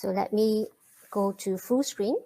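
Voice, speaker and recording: Lady opening a live coding webinar, friendly, lots of ums, mid pitch. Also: gravelly, dark tone. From Malaysia. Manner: friendly, many fillers and pauses. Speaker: webinar host and programming teacher. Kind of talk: live online webinar greeting and intro.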